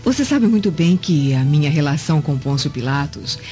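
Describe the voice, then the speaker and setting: A woman's voice: low voice, animated, normal speed, warm tone. An actress playing a cynical, flirtatious Roman noblewoman in a radio drama, with a haughty, sly delivery. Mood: sly, cutting. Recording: dull lo-fi audio with music.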